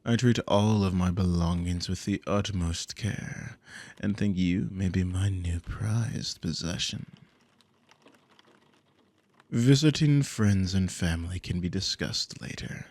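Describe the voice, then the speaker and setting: A man's voice: low voice, slow pace, darkish tone, no room sound, heavily acted. A man playing a dominant, possessive demon in an ASMR audio roleplay, speaking in a commanding, condescending, theatrical drawl with smug, silky menace. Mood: possessive, coaxing. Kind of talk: ASMR audio roleplay, intimate scripted monologue.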